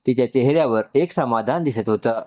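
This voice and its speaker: A man's voice: mid-range voice, brisk, lo-fi sound. A man narrates a scripted story and acts out its dialogue.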